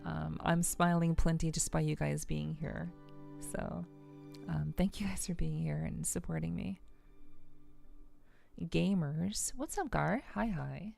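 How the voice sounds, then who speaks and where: A woman with a low, mellow voice and plenty of vocal fry hosts a livestream. She chats casually and softly with her viewers, relaxed and friendly. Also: slow pace, faint music behind. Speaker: livestream host.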